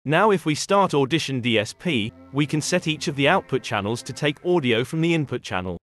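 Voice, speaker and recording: A man reading a scripted software tutorial in a measured, instructional way. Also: on the high side, lively intonation, faint music behind. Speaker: tutorial narrator.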